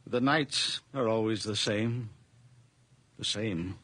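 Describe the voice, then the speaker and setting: An actor playing a frail, world-weary and fearful old widower in a radio drama, with halting, sighing speech and a creaky rasp. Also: male voice, lowish voice, bright, forceful tone, full character voice. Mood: wistful, resigned. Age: older.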